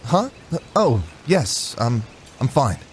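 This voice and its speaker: An actor playing an earnest young soldier and dutiful son in an audiobook drama, a little hesitant in manner. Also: male voice, medium pitch, sing-song, slow, a little hiss or hum. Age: young adult.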